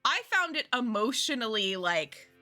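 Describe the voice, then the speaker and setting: A woman's voice: on the high side, big pitch swings, normal speed, pushed and bright, faint music behind. Chatty, phlegmy young woman streamer. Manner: chatty, giggly, self-deprecating. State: slightly phlegmy, lingering cough. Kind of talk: casual stream chat.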